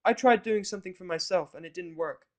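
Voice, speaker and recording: Male actor as proud little cartoon filly, snarky, grudging, pitched well up. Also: natural voice. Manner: grudging, halting.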